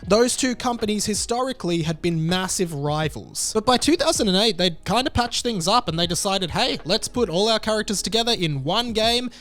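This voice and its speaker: A man's voice: high-pitched, lively intonation, a little fast, few pauses, fairly bright tone. A man hosting a video, giving informal, opinionated commentary straight to his viewers. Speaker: video host.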